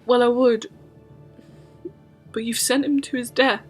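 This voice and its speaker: A woman's voice: on the high side, steady tone, measured pace, soft background music. A woman playing an inquisitive young female companion of a time traveller in a fan audio drama. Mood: accusing.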